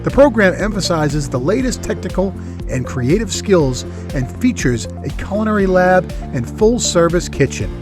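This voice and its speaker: A man's voice: sits high, very animated, normal speed. A man reading a scripted promotional spot as an advertisement announcer. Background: loud-ish music bed.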